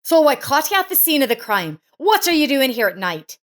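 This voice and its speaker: A woman's voice: high-pitched, big pitch swings, a little fast, pitched above the performer's own voice. A cruel daughter-in-law from an audio story, acted out by a woman narrator. She is indignant and scolding, and speaks in accusations. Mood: raging.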